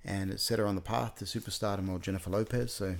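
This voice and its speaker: A man's voice: lowish voice, fairly even intonation, fast, creaky. A man co-hosting a casual film chat, talking in a rambling, digressive way and correcting himself as he goes. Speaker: podcast co-host. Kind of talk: casual chat about films.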